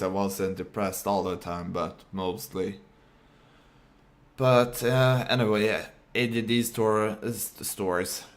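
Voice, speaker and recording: Non-native English man, very deep, unhurried, talks in fits and starts. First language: not English. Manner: halting, many fillers and restarts. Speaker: vlogger. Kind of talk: casual unscripted monologue.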